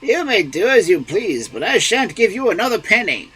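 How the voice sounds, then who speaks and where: A man voicing a meek old peasant husband in a storybook reading, mild and protesting, with a husky voice. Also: sits high, very animated, normal speed, fairly bright tone, pitched above the performer's own voice, heavily acted, rough recording.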